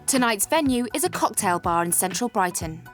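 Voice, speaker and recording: A woman's voice: mid-range voice, expressive, a little fast. A woman reading scripted narration as the narrator of a documentary. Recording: music behind the voice.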